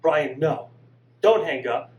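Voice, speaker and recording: A man voicing a grieving middle-aged mother, emphatic and sharp when scolding. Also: fairly high pitch, animated, slow, echoey room, lo-fi sound. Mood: tearful. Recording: distant mic with faint hum.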